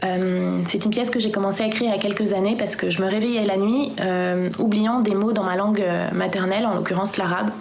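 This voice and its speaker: Gravelly-voiced woman, reflective message. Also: medium pitch, fairly even intonation, a little fast, few pauses, darkish tone, slight background noise. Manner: reflective, hesitant with fillers. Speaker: playwright and director. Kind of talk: recorded personal message.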